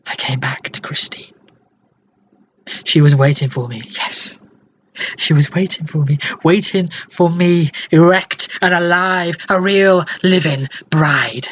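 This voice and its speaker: A man playing a dying, lovesick, tormented man in a dramatic monologue, halting and breathy, often sinking toward a whisper. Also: on the high side, fairly bright tone. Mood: tender, overwhelmed. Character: dying, lovesick tormented man confessing his tender, morbid obsession. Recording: dull, slightly noisy home recording.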